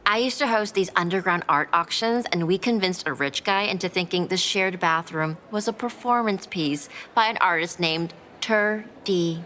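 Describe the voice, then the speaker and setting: A self-assured culture curator with a lowish voice and a brisk, guarded manner. It is naturalistic podcast drama dialogue, with clear ambient noise around it. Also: female voice, sharp tone. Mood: brisk, cordial.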